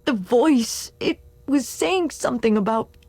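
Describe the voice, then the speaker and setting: A woman voicing a drugged, remorseful police sergeant in a video game, husky and halting, slipping into near-whispers. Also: a little high, lively intonation, a little mellow, dry recording, full character voice. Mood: haunted. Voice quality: husky, breathy.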